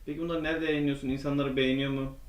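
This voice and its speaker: A male reporter puts short, informal questions in an interview. He speaks at a very rapid pace with a mid-pitched voice. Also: flat delivery. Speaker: interviewer, local news reporter.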